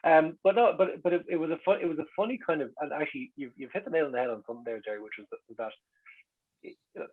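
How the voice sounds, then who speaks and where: Dublin guy chatting quick, bit raspy, wry storyteller, kinda dull lo-fi sound. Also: a little high. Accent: Dublin Irish. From Dublin, Ireland. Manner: wry, joking, animated storyteller. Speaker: guest speaker. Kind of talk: casual chat, reminiscing.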